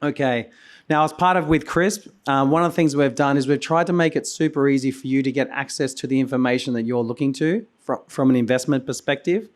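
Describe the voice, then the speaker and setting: Hoarse male conference host, mid-pitched, rambling keynote with restarts and coughs, voice going. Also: brisk. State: hoarse, losing his voice. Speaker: conference host and company founder. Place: conference venue.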